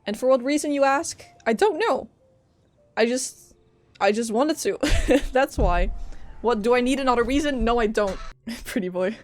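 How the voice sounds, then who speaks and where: A woman's voice: a little high, normal speed. A woman streamer giving casual, playful commentary as she reacts to game dialogue.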